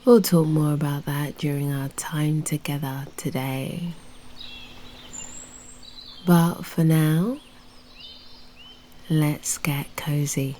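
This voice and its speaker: Very slow, hushed British woman guiding meditation. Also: low-pitched, fairly even intonation, a little hiss or hum. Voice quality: soft, breathy, often near whisper. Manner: soothing, unhurried, with long pauses. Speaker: meditation guide and host. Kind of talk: guided meditation narration.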